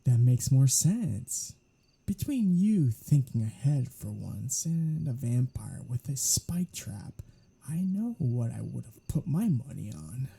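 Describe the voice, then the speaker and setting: A performer playing a snarky, casually menacing vampire in a soft-spoken ASMR audio roleplay, teasing and sardonic, with a breathy, husky voice. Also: medium pitch, heavily acted.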